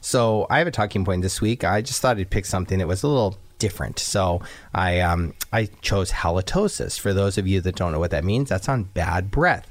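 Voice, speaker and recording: A man hosting a casual radio talk show, a bit hoarse from a cold, upbeat and rambling with lots of fillers, talking into a studio microphone. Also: low voice, animated, brisk, dry recording.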